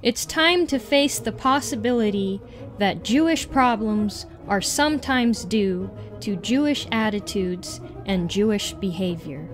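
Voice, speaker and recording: A woman reading an article aloud in a measured, deliberate way with pauses. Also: mid-range voice, soft background music. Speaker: reader.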